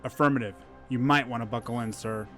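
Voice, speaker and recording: Bridge tech guy man acting, quick formal military talk, audio drama. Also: mid-range voice, fairly even intonation, fairly bright tone, faint music behind, close to an everyday voice. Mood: dutiful. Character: dutiful male military bridge technician, formal.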